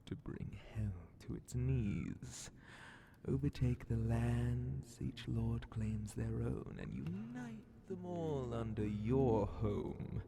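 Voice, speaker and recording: A man voicing a sly, menacing demon Grand Vizier of hell in a breathy, theatrical half-whisper for an ASMR horror fantasy roleplay. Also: mid-range voice, dark tone, a little hiss or hum, exaggerated voice. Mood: mocking, condescending. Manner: slow, theatrical, drawn-out, mockingly polite.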